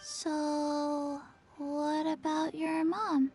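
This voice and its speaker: A woman voicing a sleepy, childlike undead little girl in a video game, deadpan and halting. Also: high-pitched. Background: game music behind the voice.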